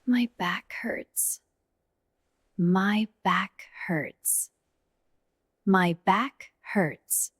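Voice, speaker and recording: A woman leads a slow language drill, saying each short line again and again, often in a whisper. Her voice is husky and breathy, recorded close in a dry, dead room. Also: medium pitch, no echo. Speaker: English teacher. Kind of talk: slow repeated phrase drills.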